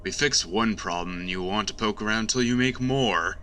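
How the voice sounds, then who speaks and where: A man playing a gruffly affable, polite man of action in an audio drama. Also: lowish voice, normal speed, pushed and bright. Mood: weary, grumbling. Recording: noisy background ambience.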